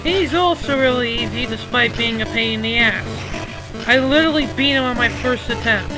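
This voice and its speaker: High-pitched, sweary woman reviewer over music. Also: moderate pace, poor audio. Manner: sweary, exasperated, prone to outbursts. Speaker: video game reviewer. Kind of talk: scripted review narration with ranting commentary.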